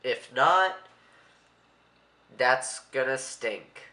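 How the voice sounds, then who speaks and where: A young man with a stuffy, congested voice talks in a loose, rambling vlog manner, a little anxious underneath. His tone is bright and clear-cut at a middling pitch. The room around him rings with echo. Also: lively intonation, drawn-out words. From Maryland, USA. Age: young adult. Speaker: vlogger. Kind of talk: casual vlog monologue.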